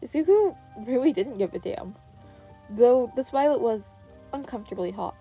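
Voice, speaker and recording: A woman reading scripted fiction aloud as an expressive podfic narrator. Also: a little high, moderate pace. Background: background music.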